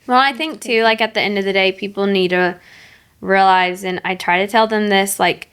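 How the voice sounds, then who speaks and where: A woman's voice: mid-range voice, steady tone, normal speed, a bit bright, smooth. A woman hosting a casual podcast, talking frankly and chattily. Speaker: podcast host.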